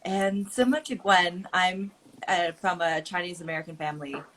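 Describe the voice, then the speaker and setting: A woman's voice: on the low side, fairly even intonation, normal speed, fairly bright tone. A woman from Oakland, California, whose first language is Mandarin, telling her story as a guest in a casual group chat in an earnest way with frequent fillers.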